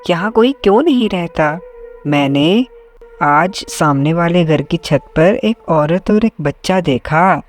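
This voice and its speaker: A woman's voice: on the low side, expressive, slow pace, faint music behind. A woman voicing a curious, cheeky young village boy in a cartoon story. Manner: cheeky, inquisitive. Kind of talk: Hindi cartoon story voice acting.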